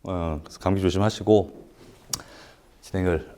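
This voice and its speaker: A man's voice: low voice, fairly even intonation, moderate pace, warm tone. A man with a throat cold gives a live seminar lecture in an indoor room with an audience. He talks casually, asks rhetorical questions and uses many "uh" fillers. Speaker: lecturer at a paid business seminar.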